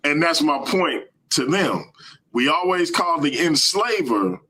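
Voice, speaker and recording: Bright-voiced American man hosting a live stream. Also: a little high, very animated, unhurried, low-quality recording. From United States. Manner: emphatic, colloquial, argues point by point. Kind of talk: casual live-stream interview talk.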